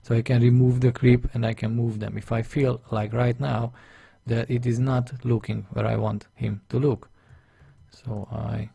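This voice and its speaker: A man with a Hungarian accent talks through a digital drawing lesson, explaining each step as he goes. His voice is low-toned, gravelly and a little husky, with a fairly flat delivery. The recording is close and dry. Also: no echo. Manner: thinking aloud step by step, chatty and self-deprecating. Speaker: illustration instructor. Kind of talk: screen-recorded drawing tutorial voice-over.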